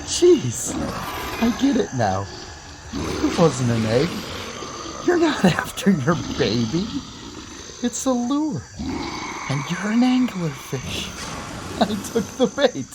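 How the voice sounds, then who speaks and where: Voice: mid-range voice, huge pitch swings, slow, pauses often, background noise. A performer playing a young man in an audio drama who rambles wryly and laughs breathlessly as he faces a monster. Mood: resigned.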